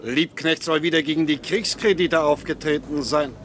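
An actor playing an old newspaper journalist who is sly and gossipy in a classic radio drama. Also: male voice, fairly high pitch, moderate pace, crowd noise, close to an everyday voice. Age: older.

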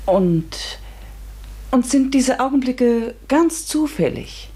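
A woman's voice: sits high, very animated, takes it slowly, mellow, husky, full character voice. An actress playing an earnest, inquisitive and easily bewildered woman in a radio play, speaking tentatively. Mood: hesitant, confused. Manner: tentative, questioning. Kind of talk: German radio play acting.

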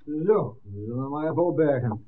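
An actor playing a level-headed, practical ally in a 1960s radio drama, reasoning in a matter-of-fact way. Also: male voice, fairly high pitch, expressive, fairly quick, slight background noise, natural voice. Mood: brisk, practical. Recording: tinny, band-limited old radio recording.

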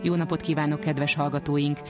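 A woman's voice: sits low, level tone, over music. A woman presenting a radio programme with scripted narration.

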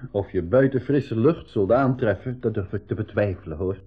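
An actor playing an excitable, outspoken young man on an expedition in a 1980s school radio play. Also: lowish voice, normal speed, slightly rough. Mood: teasing. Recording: dull old radio play recording.

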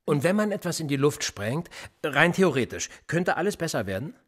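An actor playing a calm, manipulative male police interrogator in a radio play, pressing with pointed questions. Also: on the high side, moderate pace. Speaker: police officer.

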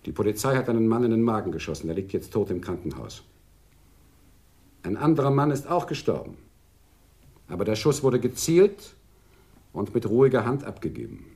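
A commanding crime boss with a creaky, gravelly voice. His formal, ceremonious speech swings widely in pitch, in the manner of 1980s radio drama. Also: big pitch swings, moderate pace, long pauses, warm tone. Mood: solemn, veiled.

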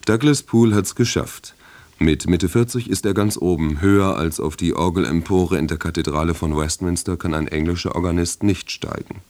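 A male radio announcer with a deep voice reads prepared broadcast copy. His delivery is measured and formal. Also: darkish tone. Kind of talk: scripted broadcast announcement, credits and preview.

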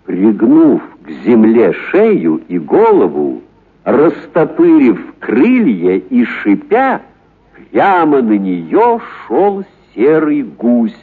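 A man narrating a literary story for radio in an expressive, dramatic actor's delivery. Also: medium pitch, breathy edge. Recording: muffled narrow-band radio recording.

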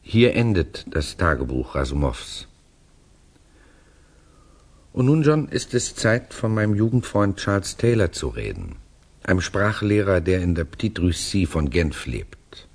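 An actor playing an urbane writer who recounts a tale to a friend in a measured, reflective way, in a 1960s German radio drama. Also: male voice, low voice, big pitch swings, heavily acted.

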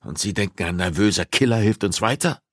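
A man's voice: on the low side, expressive, fairly quick, a bit bright, no echo, studio quality, pitched above the performer's own voice. Man voicing a desperate, agitated detective father. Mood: anxious. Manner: agitated, protesting. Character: desperate, emotional father, a male police detective. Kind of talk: audiobook dialogue acting.

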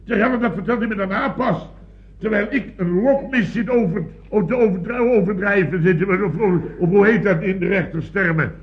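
Blustering high-voiced boss. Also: male voice, brisk. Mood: flustered, indignant. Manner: blustering, garbles words and stammers. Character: excitable, blustering male boss of a building firm. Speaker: actor in a radio comedy serial. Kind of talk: Dutch radio comedy acting, around 1970. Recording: dull old radio recording.

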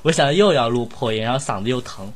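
A man's voice: mid-range voice, animated, quick pace. Male voice actor as chat host, playful and bantering, casual delivery. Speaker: voice actor hosting a cast Q&A. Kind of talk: casual group chat, reading out questions.

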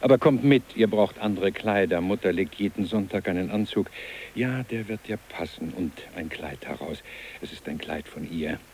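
Old countryside guy in a radio play, low voice, kindly but blunt, bit of tape hiss. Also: normal speed, darkish tone. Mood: welcoming. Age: older. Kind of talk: radio drama acting.

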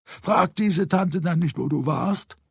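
Narrator as a nosy, high-pitched affronted gentleman. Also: takes it slowly, creaky, husky, no echo, studio quality, full character voice. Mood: needling. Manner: incredulous, needling. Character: nosy, easily affronted, mocking adult gentleman. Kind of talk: audiobook character voice.

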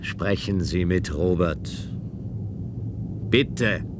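An actor playing a dutiful, rule-bound official in a science-fiction radio play, speaking formal in an insistent, lecturing way. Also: male voice, extremely slow. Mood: soothing, pleading. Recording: noisy radio play with engine rumble.

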